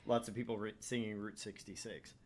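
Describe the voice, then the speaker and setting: A man's voice: lowish voice, little pitch movement, a little fast, a little mellow. A man from Texas hosting a gaming livestream with casual, joking banter, sometimes stumbling over words. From Texas, United States. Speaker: gaming channel host.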